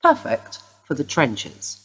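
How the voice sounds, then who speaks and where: A woman acting in a radio play as a stern police detective, formal, clipped and insistent, with a husky voice. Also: low voice, wildly swooping pitch, takes it slowly, fairly bright tone, soft background music, exaggerated voice. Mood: formal, probing. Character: stern, probing female police detective inspector.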